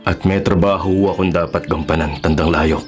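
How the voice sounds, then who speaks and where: A man's voice: low-pitched, steady tone, normal speed, faint music behind, lightly acted. A man playing an upright, resolute forest ranger in a Filipino radio drama, earnest and polite, with a Filipino accent. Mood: respectful concern.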